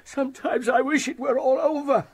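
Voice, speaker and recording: High-voiced, frightened old man. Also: steady tone. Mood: despairing, pleading. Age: older. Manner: halting, stammering, anguished. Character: frightened, ailing old uncle, wandering in mind. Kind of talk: radio drama acting.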